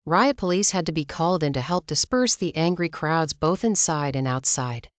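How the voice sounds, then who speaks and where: A woman's voice: low-pitched, expressive, moderate pace, a little hiss or hum. A woman delivering scripted news narration as a channel's narrator.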